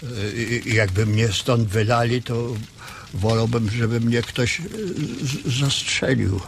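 A man's voice: medium pitch, steady tone, gravelly. An actor in a radio drama playing a lonely, timid old ranch hand who stammers and hesitates as he pleads. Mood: sorrowful. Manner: halting, stammering, full of hesitations. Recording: noisy ambience behind the voice.

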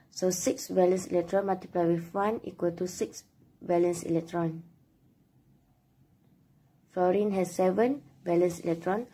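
A woman's voice: on the low side, warm tone, husky. A young woman, a student presenter, carefully reading out a scripted step-by-step tutorial. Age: young adult.

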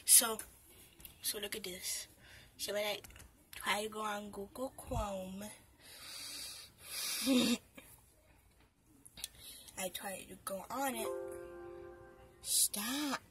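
A young girl with a high-pitched voice giggles and rambles, often half-whispering, as she films a casual vlog on her phone in a small dark closet. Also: steady tone, drawn-out words, long pauses, soft background music. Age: young, a minor. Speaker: vlogger filming her gaming corner. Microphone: handheld phone camera. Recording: noisy phone recording with clicks.

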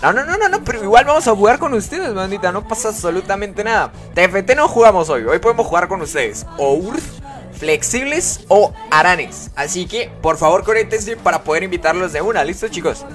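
A fairly high-voiced man running a live gaming stream, talking casually and warmly to his viewers and reading their messages out loud. Faint music plays quietly under his voice. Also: animated, brisk. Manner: chatty, greets viewers and reads chat aloud. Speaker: live streamer playing with followers.

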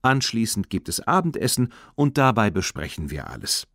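A sensible, caring mother in a storybook, read by a man. The manner is measured and practical, with expressive rises and falls in a dry recording. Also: fairly low pitch, no echo, heavily acted. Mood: firm, practical.

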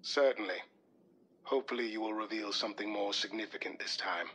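An actor playing a calm military support officer in a video game, formal, measured and polite. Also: male voice, fairly low pitch, slight background noise. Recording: loud game music behind the voice.

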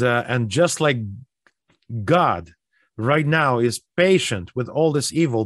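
A man with a Ukrainian accent giving an online Bible study sermon, earnest and pleading, slowing down on grave points. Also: medium pitch, a bit bright, no room sound, studio quality. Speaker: pastor.

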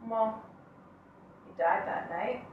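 An actress playing a plain-spoken woman reminiscing, in a very echoey room some way from the mic. She has a slightly high voice and tells her story in a wry, conversational, reflective manner, as a stage monologue. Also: slow pace, narrow, tinny audio. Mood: subdued, sad. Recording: very echoey room, distant mic, faint noise.

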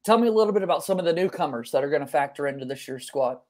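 A man's voice: a little high, animated, racing, a little mellow. A man in his twenties presenting a sports podcast as host from a room at home over a video call. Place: home bedroom or office, indoors. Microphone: video call, webcam framing, wired earbuds.

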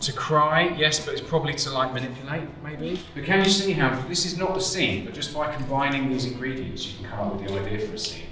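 A man's voice: mid-range voice, lively intonation, brisk, hardly any pauses, sharp tone. A middle-aged British man encouragingly leading a drama workshop for young people. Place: echoey school halls and classrooms. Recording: echoey room, distant mic, music behind.

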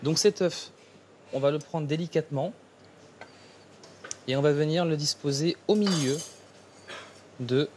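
A man, a chef explaining his work haltingly in an interview. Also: a little high, darkish tone, a little hiss or hum. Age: 30s. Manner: halting, searching for words. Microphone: lapel mic.

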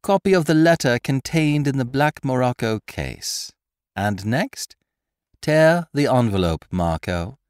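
A man voicing a cocky adult gentleman thief in an audiobook, with brisk, mocking, triumphant speech. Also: medium pitch, lively intonation, clean tone, dry recording, clean studio sound, voice pushed up, natural voice. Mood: gleeful. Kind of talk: audiobook character voice.

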